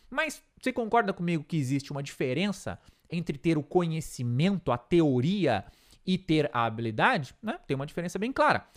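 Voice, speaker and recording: A man with a Brazilian Portuguese accent gives a patient, methodical English lesson to camera. His pitch swings widely and animatedly as he lays out each point. He keeps checking in with quick tag questions, as if pointing at notes on a board. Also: a bit bright. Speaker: English teacher. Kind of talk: explanatory video lesson.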